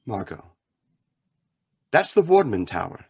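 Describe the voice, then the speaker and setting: A man acting a calm, stern mystery crime-fighter in an old-time radio drama, measured and formal. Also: fairly low pitch, creaky, husky, exaggerated voice. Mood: grave, thoughtful.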